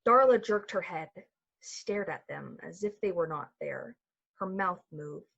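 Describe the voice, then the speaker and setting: A woman's voice: on the low side, animated, a little slow, creaky, fairly bright tone, breathy edge, lo-fi sound, heavily acted. A woman playing the solemn storyteller of an eerie radio play, narrating a scripted tale. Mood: solemn, hushed.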